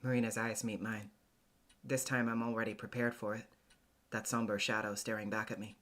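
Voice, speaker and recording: A man narrating a visual novel in the first person, acting it out in an introspective, hesitant, deliberate way. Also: fairly low pitch, flat delivery, fairly bright tone. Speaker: voice actor, narrator.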